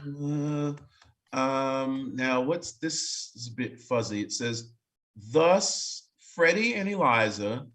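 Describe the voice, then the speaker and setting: A man's voice: medium pitch, lively intonation, takes it slowly, fairly bright tone. A man narrating in a staged reading, reading aloud expressively and breaking off for wry, casual asides.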